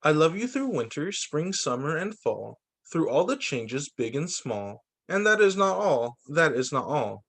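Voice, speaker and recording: A man who teaches young children, reading picture books aloud in an expressive, sing-song storytime delivery. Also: fairly high pitch, unhurried, hardly any pauses. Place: remote video call story session.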